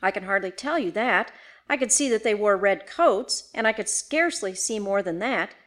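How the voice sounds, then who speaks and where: A woman voicing a young gentlewoman in a ghost story, shaken yet determined, speaking earnestly and formally. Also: mid-range voice, lively intonation, moderate pace, lightly acted. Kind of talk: dramatised audiobook ghost story.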